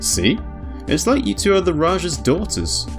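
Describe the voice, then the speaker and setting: A man's voice: high-pitched, huge pitch swings, normal speed, voice pushed up, heavily acted. Man reading a plain-spoken scrappy ferryman over music. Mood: teasing. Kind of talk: visual novel dialogue reading.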